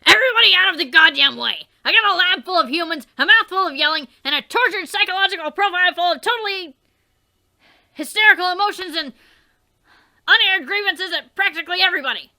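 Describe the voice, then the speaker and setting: A woman voicing a furious shouting male troll youth who rants in an overwrought way. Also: very high-pitched, animated, fast, voice pushed up, heavily acted. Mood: ranting. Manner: ranting, bellowing, overwrought.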